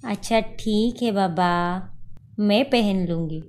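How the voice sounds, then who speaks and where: A woman voicing a bashful older mother-in-law in a cartoon story, speaking gently. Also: mid-range voice, slow, natural voice. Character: shy older mother-in-law, newly romantic.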